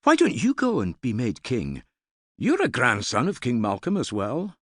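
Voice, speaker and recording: A man's voice: mid-range voice, huge pitch swings, moderate pace, fairly bright tone, no echo, studio quality, pitched above the performer's own voice. A man voicing an eager, naive boy retainer in an audiobook, blurting out his words with some hesitation. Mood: quick, eager. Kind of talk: audiobook character dialogue.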